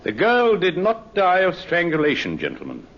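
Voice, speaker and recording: An actor playing an authoritative, clinical police doctor in an old radio drama, formal and emphatic, with a husky voice. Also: male voice, high-pitched, wildly swooping pitch, moderate pace. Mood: clinical, grave. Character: authoritative, clinical male police medical expert. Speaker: actor playing a medical examiner. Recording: muffled vintage radio recording.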